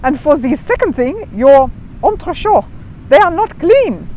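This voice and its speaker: A woman voicing a gloomy, disdainful male ballet dancer in a storybook, with halting, emphatic, stilted phrasing. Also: high-pitched, big pitch swings, mellow, slight background noise, voice pushed up, rough recording. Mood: gloomy, indignant.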